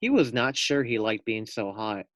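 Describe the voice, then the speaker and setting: A man's voice: fairly low pitch, expressive, normal speed, fairly bright tone, no room sound, studio quality. A man reading a children's storybook aloud as a teacher, expressively, putting questions directly to young listeners.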